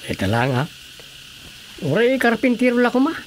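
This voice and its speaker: An actor playing a talkative, easygoing, sympathetic village councilor in a radio drama comedy, with an Ilocano Filipino accent. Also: male voice, very high-pitched, very animated, moderate pace, slight background noise. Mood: curious, chatty.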